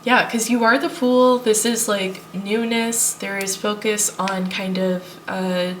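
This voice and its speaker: A woman with a stuffy cold, reading tarot cards for viewers in a relaxed, reassuring way. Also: medium pitch, takes it slowly, recorded from a distance, a little hiss or hum, rough recording. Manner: thinking aloud, reassuring, addresses viewers as piles. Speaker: tarot reader. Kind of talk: pick-a-card tarot reading.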